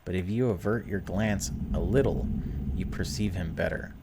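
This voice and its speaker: A man's voice: deep voice, moderate pace, very noisy. A man hosting a gaming video, reading story text aloud dramatically and breaking off for casual, wry asides.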